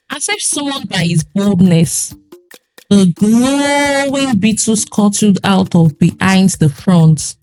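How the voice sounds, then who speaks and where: A woman with a Nigerian accent tells a folktale as host, in a theatrical, suspenseful way with deliberate phrasing. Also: medium pitch, darkish tone, poor audio. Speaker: storyteller and host. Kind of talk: dramatic folktale storytelling.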